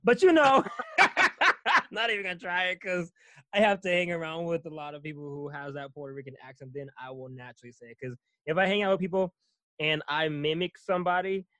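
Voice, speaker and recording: A man's voice: a little high, brisk, pushed and bright, dry recording. A man interviewing a guest in casual chat, rambling and laughing often.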